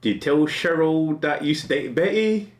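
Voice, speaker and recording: A man's voice: on the high side, animated, moderate pace. Guy in his 40s rambling to camera, voice jumps up and down a lot, roomy echo. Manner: rambling, restarts often, laughs at himself. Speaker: vlogger. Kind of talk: casual musing monologue. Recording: echoey room.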